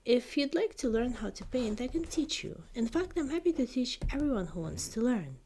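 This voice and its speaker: A woman voicing an earnest, gentle young princess in a soft, whispered ASMR storybook reading. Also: fairly high pitch, sing-song, a little hiss or hum, voice pushed up. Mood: encouraging. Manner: soft, slow, careful delivery.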